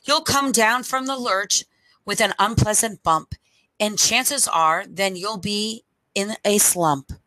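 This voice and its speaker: Storytime reader: middle-aged woman, bright forward tone, unhurried deliberate phrasing, headset mic. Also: mid-range voice, no room sound, low-quality recording. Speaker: storyteller reading to children. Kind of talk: read-aloud picture book storytime. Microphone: headset microphone.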